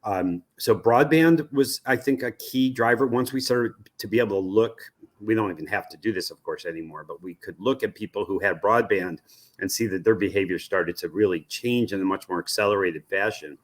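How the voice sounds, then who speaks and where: Male expert guest, American accent, deep voice, measured and halting explanatory delivery. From United States. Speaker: interview guest, research company president. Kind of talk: explanatory interview answers.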